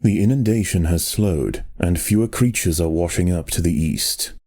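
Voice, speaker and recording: A man reading scripted nature documentary narration in a measured, solemn and dramatic manner. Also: deep voice, dark tone. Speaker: documentary-style game narrator.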